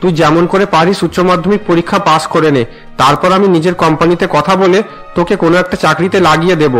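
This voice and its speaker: A man's voice: on the high side, steady tone, fast, fairly bright tone, faint music behind, natural voice. A man voicing a worried, forgiving middle-aged father in a melodramatic cartoon, speaking with soothing concern. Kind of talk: melodramatic Bengali cartoon dubbing.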